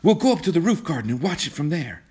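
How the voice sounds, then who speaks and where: An excitable, spoiled young woman, played by a male narrator, speaks in a raised, swooping voice. She is girlish, naive and breathless, a storybook heiress with theatrical delivery. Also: mid-range voice, moderate pace, slightly rough, pitched above the performer's own voice, exaggerated voice. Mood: excited.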